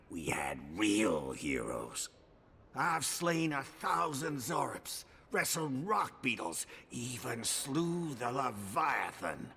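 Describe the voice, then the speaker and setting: An actor playing a sick old braggart of a hero in a fantasy video game, rambling and wistful. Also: male voice, mid-range voice, vocal fry, sharp tone, a little hiss or hum, exaggerated voice. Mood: grumbling, then boastful. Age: older. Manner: rambling, boastful storytelling. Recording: music behind the voice.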